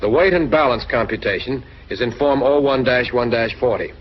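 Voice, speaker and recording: A man's voice: medium pitch, a little fast, a little hiss or hum. A man giving scripted instructional narration for a training film, speaking straight to the listener in a direct, instructive way. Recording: dull lo-fi 1940s film soundtrack.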